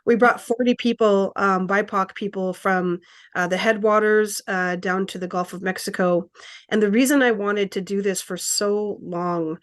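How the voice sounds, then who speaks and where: A woman's voice: mid-range voice, fairly even intonation, normal speed. Hesitant woman organizer, full of fillers. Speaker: community organizer on a panel. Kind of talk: conference panel talk.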